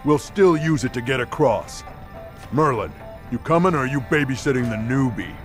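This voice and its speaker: A brash, hot-headed villain from a video game, voiced by a man. He talks bluntly and roughly at a middling pitch. Music plays underneath. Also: animated, moderate pace, close to an everyday voice. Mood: cocky.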